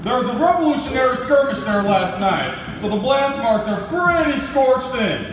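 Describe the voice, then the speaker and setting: A man's voice: very high voice, sing-song, moderate pace, recorded from a distance. A man playing a pompous, irritable spaceship captain in a stage comedy, barking orders in an echoey, noisy hall. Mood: bossy. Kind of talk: staged reader's theatre comedy.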